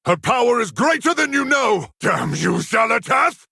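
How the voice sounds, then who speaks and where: An actor playing a desperate elf fighter in a video game, crying out urgently in a strained, raspy voice. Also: male voice, very high voice, very animated, normal speed, bright, forceful tone, no room sound, clean studio sound, full character voice. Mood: desperate alarm. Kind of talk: dramatic video game voice acting.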